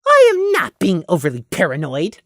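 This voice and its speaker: A man's voice: high-pitched, huge pitch swings, moderate pace, fairly bright tone, dry recording, studio quality, pitched above the performer's own voice, heavily acted. A man voicing a small, paranoid male lamb who grumbles with suspicion and resentment in an audio storybook. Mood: scowling. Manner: scowling, insistent.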